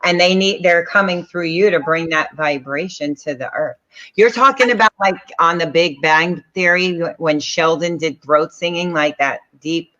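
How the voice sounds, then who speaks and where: A woman's voice: lowish voice, normal speed, a bit bright. A woman co-hosting a live psychic chat, explaining things in an earnest way over a video call. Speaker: psychic reader, co-host. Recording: dull lo-fi audio.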